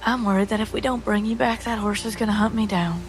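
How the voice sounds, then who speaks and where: A woman's voice: mid-range voice, fairly even intonation, quick pace, faint music behind, natural voice. A woman playing an alert young scout in a tabletop fantasy game, cautious and earnest with a lightly teasing way.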